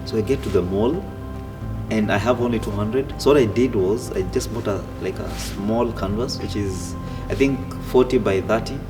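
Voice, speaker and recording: A man, telling his own story in a relaxed way with many fillers and restarts. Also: fairly low pitch, normal speed. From Kenya. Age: 30s. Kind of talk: casual personal storytelling. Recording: distant mic with music behind.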